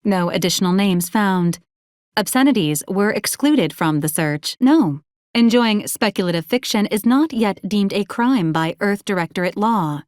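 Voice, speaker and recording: A woman playing a polite female robot assistant in a video game, speaking in formal, procedural phrases with a deadpan, literal manner. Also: medium pitch, normal speed, dry recording, studio quality, lightly acted. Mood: politely officious. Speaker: robot companion giving mission advisories. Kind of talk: scripted video game character lines.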